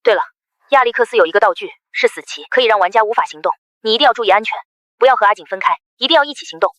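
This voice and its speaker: A woman voicing a protective older-sister figure in an animated drama, giving firm, urgent instructions. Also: fairly high pitch, rapid-fire, dry recording. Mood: urgent warning.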